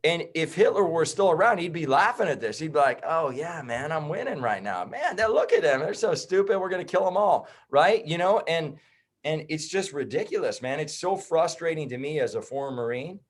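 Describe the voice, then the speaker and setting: A man's voice: fairly high pitch, animated, fairly quick. A man in his thirties, a chiropractor guesting on a podcast, talking bluntly and heatedly in casual chat. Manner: blunt, heated, swears and says bro.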